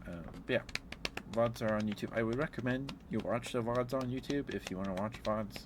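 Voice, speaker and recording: A man chatting casually on an ASMR livestream as the host, in a hesitant, halting, chuckly and apologetic way. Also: medium pitch, noisy background. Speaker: live streamer hosting an ASMR stream.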